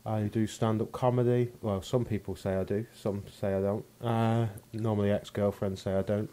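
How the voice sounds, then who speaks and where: A man from England with an English accent, speaking in a fairly low voice. He chats as a guest in a casual podcast, dry and self-mocking, and he swears freely. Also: little pitch movement, normal speed, mellow. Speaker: stand-up comedian guest.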